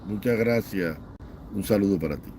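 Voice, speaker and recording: A man's voice: lowish voice, moderate pace, warm tone, slight background noise. A middle-aged man hosting a livestream, greeting viewers by name and ranting in a bitter, scornful way. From Cuba. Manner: bitter, scornful, emphatic lists. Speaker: livestream host. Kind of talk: livestream chat, reading viewer comments and ranting. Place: home in Houston, Texas.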